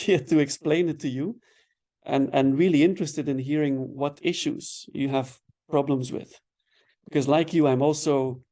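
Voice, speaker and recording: A man giving an online lecture, explaining patiently and checking in with "right?" as he goes. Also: medium pitch. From Belgium. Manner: relaxed, explanatory, frequent "right?" tags and repeated words. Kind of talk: online webinar lecture answering questions.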